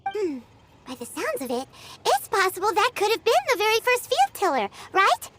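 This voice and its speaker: Childlike fairy sidekick, extremely high, very animated, excitable, chatty, game music behind. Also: female voice, moderate pace, heavy background noise, exaggerated voice. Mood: pondering. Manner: perky, speaks of herself in third person. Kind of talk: video game voice acting.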